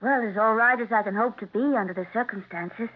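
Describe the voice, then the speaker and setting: An actress playing a sad but polite widow in a 1970s radio mystery. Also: female voice, medium pitch, fast, natural voice. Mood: weary, polite. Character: Maud, sad, polite widow keeping her composure. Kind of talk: 1970s radio drama acting. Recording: tinny narrowband vintage radio recording.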